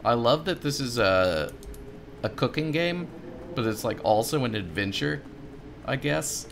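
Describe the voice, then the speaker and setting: A man's voice: medium pitch, animated, measured pace, vocal fry, a bit bright, slight background noise. A man in his thirties giving casual gameplay commentary as a let's play host, with muttered asides and exclamations. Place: home room, indoors.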